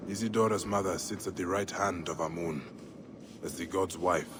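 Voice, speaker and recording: A man playing a grave, determined investigator of ancient Egyptian tombs in a video game, deliberate and brooding. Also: low voice, steady tone, background noise, natural voice.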